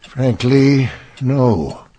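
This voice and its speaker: A man acting a weary, grieving Victorian councilman in a radio drama, formal and halting, with a husky, gravelly voice. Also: mid-range voice, warm tone. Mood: weary, troubled.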